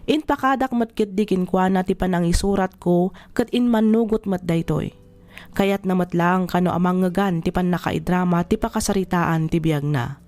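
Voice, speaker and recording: A woman's voice: on the low side, normal speed, a little mellow, close to an everyday voice. A woman playing an earnest letter sender, politely narrating a friend's life story for an Ilocano radio drama.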